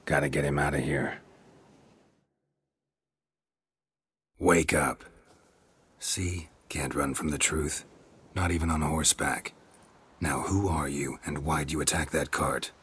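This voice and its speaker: An actor playing a gruff, middle-aged monster hunter in a video game, deadpan and sardonic, with a gravelly voice. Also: male voice, a bass voice, a little slow, a little hiss or hum. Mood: stern. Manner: deadpan, dry, terse.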